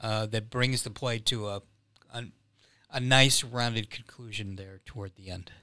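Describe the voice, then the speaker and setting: A man with a very bright, forward voice, talking casually as a podcast guest. He explains things with small hesitations, and the sound is close and dry. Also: lowish voice, fairly even intonation, measured pace, smooth, no room sound. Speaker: playwright guest.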